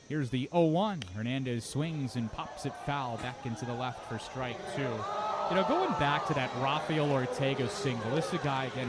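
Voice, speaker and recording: A high-pitched male baseball announcer calls the game live for radio. He chats easily between pitches and raises his energy when the play moves. Loud ballpark ambience runs behind him. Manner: conversational between pitches, excited calls on action. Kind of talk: live radio sports commentary. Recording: live ballpark broadcast with loud background noise. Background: outdoor ballpark ambience.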